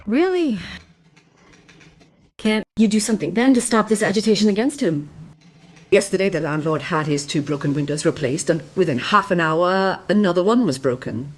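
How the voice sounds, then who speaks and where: Low-voiced, indignant concerned citizen. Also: female voice, animated, moderate pace. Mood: indignant, pleading. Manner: earnest, insistent. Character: concerned, indignant woman defending an old man. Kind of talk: crime radio play acting.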